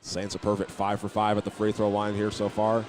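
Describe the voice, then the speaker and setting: Low, even-toned man calling basketball. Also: fairly quick. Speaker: play-by-play announcer. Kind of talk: live high school basketball radio commentary. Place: indoor school basketball gym. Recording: live gym broadcast with clear background noise.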